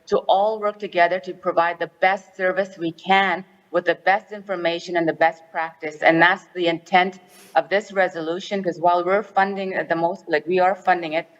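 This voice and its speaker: A woman's voice: fairly low pitch, big-room sound, muffled recording. A woman chairing a directors forum, speaking earnestly to an assembly by phone and explaining a resolution with frequent restarts. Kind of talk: formal assembly remarks by phone.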